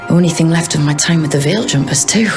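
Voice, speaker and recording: An actor voices a young elf rogue in a fantasy game, with a gravelly edge to the voice. The delivery is wry and sarcastic, full of dry jokes. Faint music sits behind the dialogue. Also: medium pitch, quick pace, close to an everyday voice. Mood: reflective.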